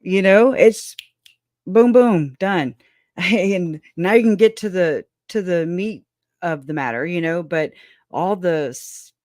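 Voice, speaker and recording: A woman's voice: fairly low pitch, slow pace, a little mellow, dry recording, studio quality. A woman co-hosting a live stream in casual, opinionated chat. She swears freely, laughs often and acts out her own reactions.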